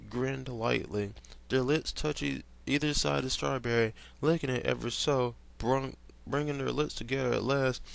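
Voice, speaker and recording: A man's voice: mid-range voice, gravelly. A young man reading fan fiction aloud in a casual way, stumbling over words and breaking off to comment and laugh.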